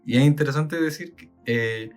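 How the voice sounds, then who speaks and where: A man's voice: a little high, moderate pace, soft background music. A Chilean man co-hosting a podcast, talking thoughtfully with many fillers and reading passages aloud. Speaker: podcast co-host. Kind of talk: casual talk and reading aloud.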